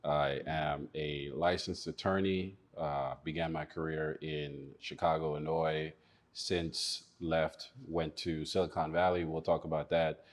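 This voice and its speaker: A man's voice: very deep voice, level tone, measured pace. A man from Malawi, an attorney in his thirties, chatting casually in an interview with many fillers and frequent laughs. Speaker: attorney and investor.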